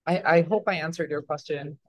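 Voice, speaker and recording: A man's voice: fairly high pitch, a little fast, no echo. A young man from Mexico, answering interview questions in a loose, rambling way with many fillers and restarts. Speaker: interview guest. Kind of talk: casual interview talk.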